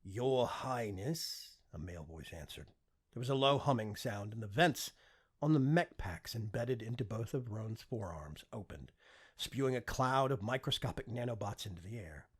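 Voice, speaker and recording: Male fiction reader, lively pitch movement, husky tone, audiobook narration. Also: moderate pace.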